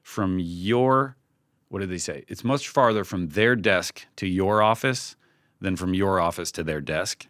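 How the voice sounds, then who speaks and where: Low-voiced man in casual, candid conversation. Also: measured pace, fairly bright tone, no room sound, studio quality. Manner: informal, candid, often acts out quoted remarks. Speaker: podcast guest, creative team leader. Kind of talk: casual conversational interview talk.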